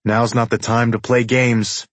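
A man's voice: medium pitch, flat delivery, unhurried, no room sound, lightly acted. A man voicing an arrogant senator in an audiobook, cool, cutting and threatening. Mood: cool threat. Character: arrogant, cold, commanding male senator.

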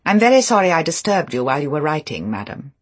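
A formal housekeeper acted by a woman reading an audiobook, cool and deferential to her employer. Her voice is markedly husky, and every phrase is measured and stiffly polite. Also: sits low, big pitch swings, heavily acted. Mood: brisk, formal.

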